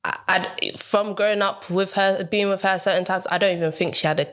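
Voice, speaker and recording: A woman's voice: mid-range voice, fairly even intonation, fairly quick, fairly bright tone. A woman, a guest on a podcast, telling her own story in a hesitant, rambling way and often laughing. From Ghana. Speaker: podcast guest, mental health coach. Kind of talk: casual interview chat.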